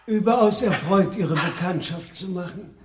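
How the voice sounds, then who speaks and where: A man's voice: high voice, expressive, normal speed, far from the mic, noisy background. An actor playing a polite, exacting middle-aged Japanese businessman in a German radio drama, speaking with formal, clipped courtesy.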